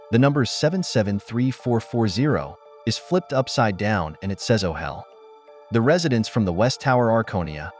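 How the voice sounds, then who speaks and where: A man reading a scripted recap narration as the host of a breakdown video. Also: lowish voice. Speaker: host of a TV episode breakdown channel.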